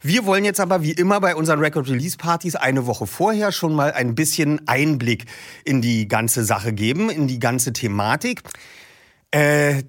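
A man hosting a podcast who introduces the episode and then reads a passage from a book aloud. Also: fairly high pitch. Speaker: podcast host and audiobook reader.

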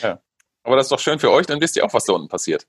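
A man's voice: mid-range voice, big pitch swings, racing, breathy edge, no echo, studio quality. A middle-aged man, a radio football commentator, explaining thoughtfully with many fillers in a podcast interview.

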